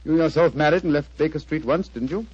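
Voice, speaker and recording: An actor playing an aloof, witty English gentleman detective, clipped and suave, in 1940s radio style. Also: fairly high pitch, quick pace, breathy edge. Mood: awkward. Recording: muffled, noisy 1940s radio recording.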